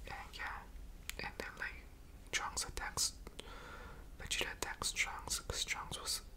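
Young man whispering close to mic. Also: takes it slowly. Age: young adult. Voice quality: breathy, unvoiced whisper. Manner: slangy, swearing, rambling. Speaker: ASMR video creator and reviewer. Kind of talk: whispered ASMR casual review chat. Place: room at home, indoors. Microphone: large desktop USB microphone, close up.

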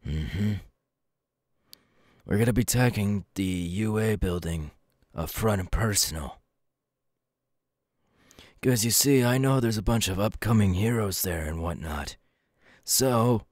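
Casting: male actor as a young villain recruiter for ASMR roleplay, low voice, dry close sound, deliberate and coolly menacing. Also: no echo. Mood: probing, cool. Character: young adult male supervillain recruiter, cool, menacing, sly.

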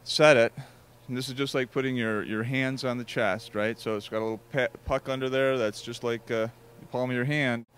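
A man's voice: medium pitch, fairly even intonation, brisk, a bit bright, clean tone. A man working as a product representative, giving a live step-by-step demonstration at a trade show booth. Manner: explanatory, step-by-step instruction.

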